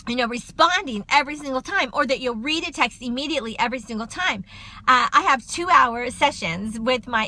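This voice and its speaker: A middle-aged woman, a counselor chatting loudly and quickly to viewers on a phone livestream. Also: high voice. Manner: loud, fast, chatty, addresses viewers as y'all. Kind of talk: casual livestream talk to viewers.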